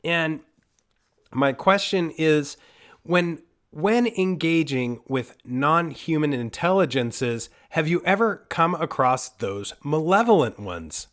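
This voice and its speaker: A man with a somewhat high voice hosts a conversational interview. He is from Costa Rica and a native Spanish speaker, speaking English in a thoughtful, measured manner. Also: lively intonation, a bit bright. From born in Costa Rica, moved to the United States. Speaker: interview host.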